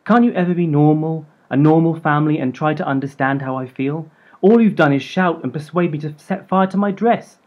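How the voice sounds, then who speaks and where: A man reading aloud and voicing an upset nineteen-year-old woman who speaks loudly and emotionally. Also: sits low, fairly quick, dull audio, voice pushed up. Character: frustrated nineteen-year-old runaway bride.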